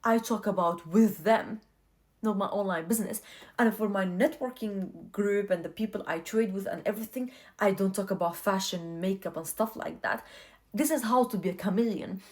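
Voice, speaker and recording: A woman's voice: mid-range voice, normal speed, darkish tone. A young woman whose first language is not English, talking casually to camera and giving earnest life advice, often repeating herself for emphasis.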